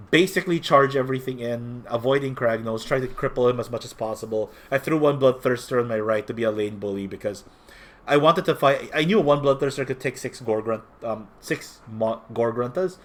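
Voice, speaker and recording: Slightly nasal, sick-sounding young man. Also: medium pitch. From Philippines. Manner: rambling, frequent self-corrections. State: a little sick. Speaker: tournament player reporting. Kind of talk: casual recap.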